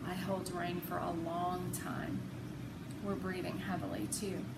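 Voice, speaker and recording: A woman narrating a children's novel expressively, with deliberate, dramatic phrasing. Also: lowish voice, level tone. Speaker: read-aloud narrator. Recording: roomy, noisy home recording.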